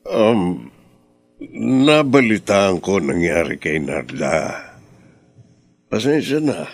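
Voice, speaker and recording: A man acting a stiff, self-important older gentleman in a radio drama, with a gravelly voice. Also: low-pitched, big pitch swings, slow pace, no echo, heavily acted. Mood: awkward, condescending.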